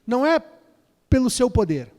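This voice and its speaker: A man's voice: very high voice, big pitch swings, normal speed, a little mellow. A man interpreting a lecture phrase by phrase, often starting with fillers and repeated words. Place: lecture hall. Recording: long hall reverb.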